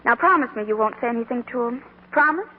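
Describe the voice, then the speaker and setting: Thin telephone-like old radio sound, actress as high, lilting young shopgirl, kindly, bossy, 1940s comedy. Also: lively intonation, fast, natural voice. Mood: coaxing, firm. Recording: tinny, noisy 1940s radio recording.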